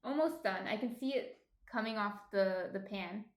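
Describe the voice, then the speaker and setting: A woman's voice: medium pitch, moderate pace, reverberant. Chatty woman with a slight Jersey accent. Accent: New Jersey. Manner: chatty, thinks aloud while cooking. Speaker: baking livestream host. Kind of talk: casual chat reading viewer comments.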